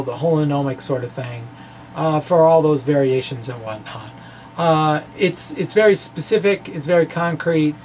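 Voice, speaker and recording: Halting, wry man on a noisy recording. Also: on the high side, vocal fry. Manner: halting, wry, chuckles at own asides. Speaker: amateur physics blogger. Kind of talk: informal dramatic reading of a physics blog.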